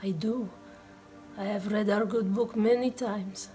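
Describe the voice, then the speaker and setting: A woman playing a kindly, devout, blind old man in a radio play, reciting and teaching in a measured, gentle way. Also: mid-range voice, steady tone, faint music behind, lightly acted. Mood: gentle, reverent.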